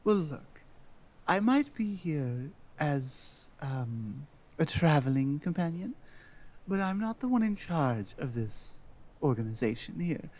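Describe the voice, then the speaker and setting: A man's voice: on the high side, very animated, takes it slowly, a little mellow. A man playing an earnest, self-doubting Nordic guide and adventuring companion in a roleplay audio drama, musing hesitantly. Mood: cautious, deferential.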